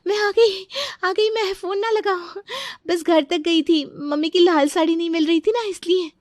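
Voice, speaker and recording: An actress playing a carefree young daughter-in-law, wheedling and childlike, in a comic family story. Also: female voice, very high-pitched, normal speed, dry recording, studio quality. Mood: breezy, playful. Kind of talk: animated family comedy story acting.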